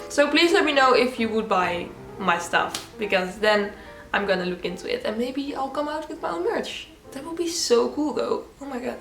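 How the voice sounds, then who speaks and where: A woman's voice: a little high, fairly bright tone. Giggly young Dutch woman, echoey room. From Netherlands. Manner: chatty, giggly, many "like" fillers. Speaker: YouTuber answering viewer questions. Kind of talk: casual Q&A vlog.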